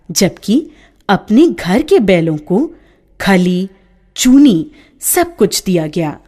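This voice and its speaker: A woman narrating a village story in a measured, expressive way. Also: mid-range voice.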